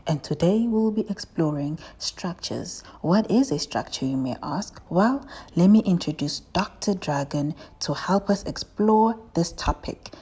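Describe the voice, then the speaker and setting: A woman plays a friendly professor puppet hosting a children's show. The voice is low and husky with a dark, mellow tone. The delivery is explanatory and aimed straight at young listeners. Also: lively intonation, measured pace. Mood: welcoming. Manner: explanatory, addresses children directly. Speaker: host.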